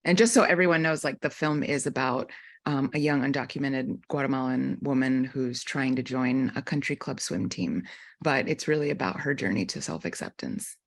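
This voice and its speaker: A woman from North Carolina speaks as a filmmaker on a panel, her voice notably low for a woman. She talks thoughtfully and loosely, pausing on ums and likes as she explains her work. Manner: reflective, many ums and likes. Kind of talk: casual panel answer.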